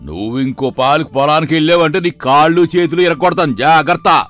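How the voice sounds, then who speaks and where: A man playing a middle-aged, hot-tempered father and farm master in an animated moral story, speaking emphatically. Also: fairly high pitch, brisk, faint music behind, lightly acted. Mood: angry, threatening.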